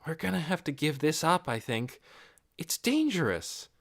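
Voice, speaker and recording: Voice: mid-range voice, big pitch swings, normal speed, creaky, pitched above the performer's own voice. A narrator voicing a cautious, practical grown woman in an audiobook, skeptical and wry.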